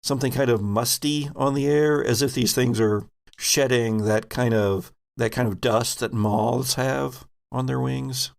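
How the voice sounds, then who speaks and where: A man narrates a tabletop game as its game master. His voice sits at a medium pitch with noticeable vocal fry. He takes his time, describing things deliberately and improvising as he goes. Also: fairly even intonation.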